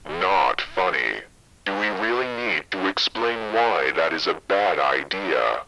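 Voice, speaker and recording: A man's voice: sits low, very animated, measured pace, gravelly, bright, forceful tone, faint music behind, pitched below the performer's own voice, full character voice, rough recording. A man voicing a stern, exasperated senior overseer, curt in manner, in a scripted audio drama. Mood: stern displeasure.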